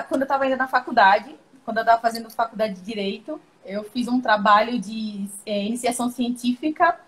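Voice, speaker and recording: Lady telling a long story on a live call, laughy and chatty, kinda high voice. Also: poor audio. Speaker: interview guest. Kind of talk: casual storytelling on a video call.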